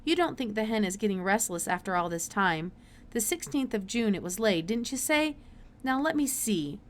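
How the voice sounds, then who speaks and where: A curious, absent-minded older man of science, as a woman reader puts him on for a storybook. He is brisk and emphatic, breaking into hesitant mutters and exclamations. The recording has clear background noise. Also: medium pitch, big pitch swings, lightly acted. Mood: eager, fussy.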